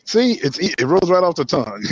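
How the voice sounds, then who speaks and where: A man's voice: on the high side, sing-song, normal speed, slightly rough, dull audio. A man chatting casually on a live stream, joking crudely and laughing often. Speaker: stream co-host.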